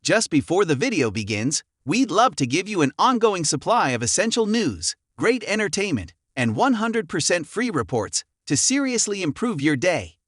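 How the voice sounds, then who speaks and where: Promo announcer, man: high register, bouncy intonation, upbeat scripted read, dry studio sound. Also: sing-song, moderate pace, few pauses, a bit bright, no echo.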